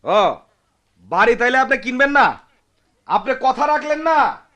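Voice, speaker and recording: A man's voice: extremely high pitch, big pitch swings, unhurried, bright, forceful tone, no room sound, full character voice. An actor playing an impulsive, lovestruck village man in a radio drama, with a rural Bangladeshi accent and an impassioned, insistent delivery. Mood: angry. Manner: impassioned, pleading, repeats himself. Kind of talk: Bengali radio drama acting.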